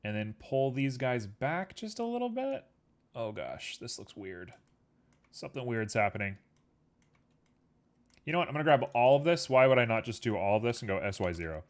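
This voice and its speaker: A man's voice: mid-range voice, big pitch swings, normal speed, long pauses. Easygoing, rambling man with a sniffle. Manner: easygoing, rambling, filler-heavy. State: a little sniffly. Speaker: livestreamer. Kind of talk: casual chat while working on a 3D model.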